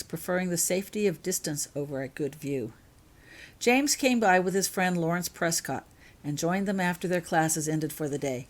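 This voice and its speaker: Low-pitched woman reading a story. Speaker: author reading own fan fiction. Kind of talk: scripted narration, storybook reading.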